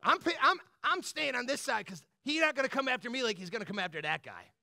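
A man preaching as a pastor in a conversational, motivational style, colloquial and animated, often checking in with the listeners. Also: extremely high pitch, fast talker, creaky, no echo, studio quality. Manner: animated, colloquial, punctuates points with "right?" and "bro".

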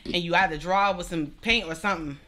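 A young adult woman hosting a podcast in a small studio, chatting casually with lively slang and frequent laughter. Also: lowish voice, quick pace, over a noisy crowd. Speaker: podcast host. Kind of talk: casual interview chat. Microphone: studio mic on stand.

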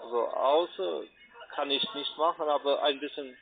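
A man speaking German with a foreign accent, a polite, halting call centre agent on a sales call. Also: on the high side, fairly even intonation, vocal fry. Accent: non-native German. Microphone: phone call. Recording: tinny narrow telephone line.

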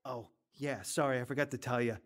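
An actor playing a young husband who deals drugs, in a radio drama, sarcastic and dismissive. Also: male voice, medium pitch, fairly even intonation, a little fast, close to an everyday voice. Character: young husband, a brash drug dealer.

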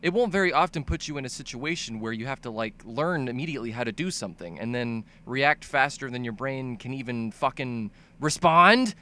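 A man's voice: medium pitch, animated, brisk, hardly any pauses, sharp tone. A young man narrating a comedic scripted video essay about games in an exaggerated, sweary, ranting manner. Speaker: video game commentator.

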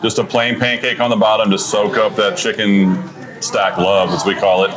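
A man's voice: lowish voice, flat delivery, moderate pace. A man, a restaurant owner chatting casually and enthusiastically about his dishes in a dining room on a lapel mic. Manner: easygoing, enthusiastic, fillers like "you know". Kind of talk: casual chat about food. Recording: music playing underneath.

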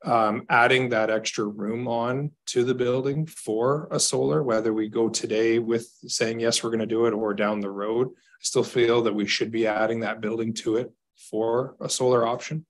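A Canadian man in his thirties, a staff member reporting to a council over a video call from an office, measured and hesitant with fillers. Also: medium pitch, fairly even intonation. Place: small office.

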